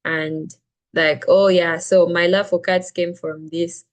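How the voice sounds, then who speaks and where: A woman's voice: fairly low pitch, level tone, slow pace. A young woman from Zambia teaching a casual reading class, chatty and full of "like" and "right?" as she explains. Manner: chatty, frequent "like" and "right?", restarts sentences. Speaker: SAT reading tutor.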